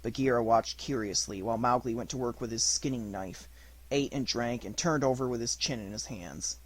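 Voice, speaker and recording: A man's voice: mid-range voice, normal speed. A man reading a literary story aloud as an audiobook narrator, in a scripted narration style.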